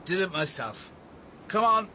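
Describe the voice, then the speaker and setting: An actor playing a distraught, befuddled drunk man in a radio drama, gruff, halting and groaning. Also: high-pitched, sing-song, bright, forceful tone, a little hiss or hum, full character voice. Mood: stubborn.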